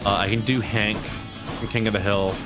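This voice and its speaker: A man's voice: lowish voice, flat delivery, moderate pace. A man streaming a video game and chatting casually, rambling with restarts and self-deprecating asides, over game music. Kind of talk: casual chat while gaming.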